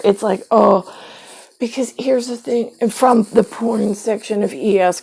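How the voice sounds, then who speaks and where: A woman's voice: medium pitch, gravelly, mellow. A woman in a rambling monologue, quoting her own conversations with sudden bursts.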